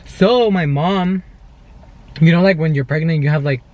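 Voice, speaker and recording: A young adult man with a high voice talks in a loose, chatty vlog style. He tells stories casually as a co-host, speaking to a camera microphone inside a car. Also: moderate pace, a little mellow, muffled recording. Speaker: vlogger, channel co-host.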